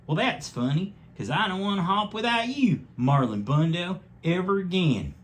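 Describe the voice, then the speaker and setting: A man voicing Wesley, a sweet young male bunny who is in love, in a soft, high and earnest voice. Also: very animated, normal speed. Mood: tender.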